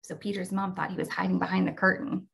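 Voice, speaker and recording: American woman teacher with creaky voice. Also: on the low side, steady tone, fast, a bit bright. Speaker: teacher demonstrating a read-aloud. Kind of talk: read-aloud and instructional talk for teaching young children.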